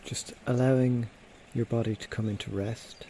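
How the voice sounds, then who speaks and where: Male meditation guide, soft mellow tone, medium pitch, gentle and unhurried, pausing often, faint birdsong and nature sounds behind. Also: steady tone, slight background noise. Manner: gentle, unhurried instruction with frequent pauses. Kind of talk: guided body scan meditation.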